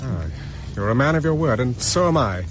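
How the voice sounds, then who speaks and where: A man's voice: medium pitch, animated, fairly quick, a little hiss or hum, close to an everyday voice. A man with a British accent playing a resourceful, wary former army captain on the run in a radio drama. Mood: brisk, commanding.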